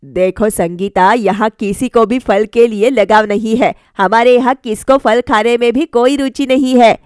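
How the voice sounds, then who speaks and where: A woman's voice: a little high, fairly even intonation, measured pace, a little mellow, clean tone, dry recording, studio quality, close to an everyday voice. A woman voicing a plain-spoken, resigned older mother-in-law in a storybook, explaining things matter-of-factly.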